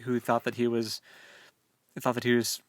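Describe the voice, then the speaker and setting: A man co-hosting a book discussion podcast, chatting casually and thinking aloud in a halting, colloquial way. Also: mid-range voice, monotone, dry recording, studio quality. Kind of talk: casual chat about a novel.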